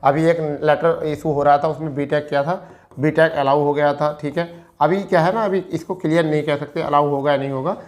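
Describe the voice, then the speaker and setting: A man's voice: a little high, fairly even intonation, fairly quick. A man with an Indian accent giving an informal explainer from an on-screen document, repeating himself and answering his own questions, with English terms mixed in. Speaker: educational video presenter.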